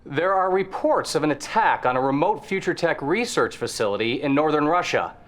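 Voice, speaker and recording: An actor playing a serious, brisk television news reporter with formal, projected delivery in a video game. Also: male voice, fairly high pitch, lively intonation, close to an everyday voice.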